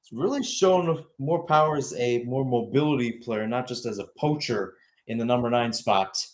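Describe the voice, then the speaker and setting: A man's voice: medium pitch, moderate pace. A young man giving live radio-style soccer commentary, moving between calls of play and conversational analysis. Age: young adult.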